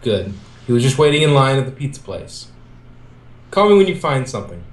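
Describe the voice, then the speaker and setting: A man of average pitch reads a fan-written story aloud. He moves between narration and lines of dialogue. The sound is echoey, like an amateur recording in a bare room. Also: big pitch swings, a little fast. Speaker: fan fiction reader.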